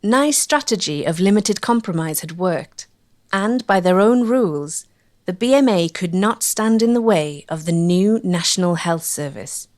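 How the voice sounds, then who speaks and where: A woman's voice: on the low side, lively intonation, measured pace, darkish tone. A woman, delivering scripted documentary narration.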